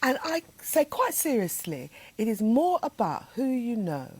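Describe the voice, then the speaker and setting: A woman's voice: a little high, sing-song, a little slow, husky, rough recording. A woman in her fifties speaking as a TV panelist, emphatic and earnest. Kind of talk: studio discussion. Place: TV studio.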